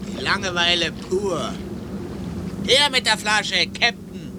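An actor playing a bitter, bored, scornful passenger in a German radio drama. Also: male voice, sits high, sing-song, takes it slowly, full character voice. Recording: clear background noise on radio play.

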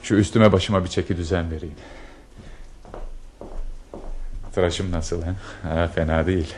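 A male actor plays a lovestruck army lieutenant in a radio drama, nervous and hopeful. His voice sits fairly low and moves in a theatrical way, with music behind it. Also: fairly even intonation, a little mellow, lightly acted. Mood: anxious, hopeful. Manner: theatrical, murmuring to himself, brisk when giving orders.

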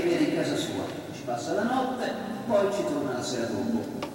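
Sarcastic interrogator in an echoing hall. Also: male voice, fairly high pitch, darkish tone. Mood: pointed, insinuating. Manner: formal, pointed, mock-courteous questioning. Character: insinuating male interrogator, sarcastic, mock-polite, persistent. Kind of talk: theatrical courtroom-style questioning. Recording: long hall reverb, distant, clear background noise.

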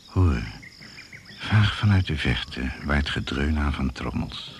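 A man narrating a radio drama in a gravelly, hushed, deliberate and suspenseful manner. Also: extremely low pitch, lively intonation. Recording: noisy old radio play recording.

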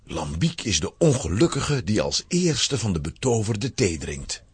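A man's voice: fairly low pitch, big pitch swings, a little slow, breathy edge, no room sound. A man narrating a scripted children's story in a dramatic storytelling manner.

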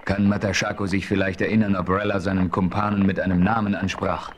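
An actor as the first-person frontier narrator of a 1970s radio play, clear and matter-of-fact. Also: male voice, deep voice, little pitch movement, quick pace, background noise, lightly acted. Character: adventurous frontiersman narrator, man.

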